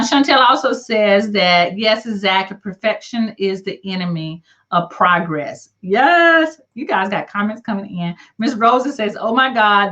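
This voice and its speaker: A middle-aged woman with a country accent from the American South hosts a casual livestream. Her voice sits at an average pitch for a woman, and she talks in a folksy, encouraging, colloquial way. The audio is dull and lo-fi. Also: bright, forceful tone. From southern United States. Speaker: livestream host and coach. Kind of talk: casual chat reading live comments.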